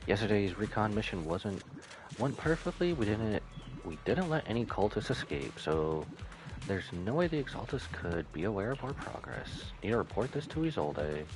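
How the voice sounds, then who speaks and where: Low-voiced man with lively delivery. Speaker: game streamer. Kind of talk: casual playthrough commentary and reading aloud.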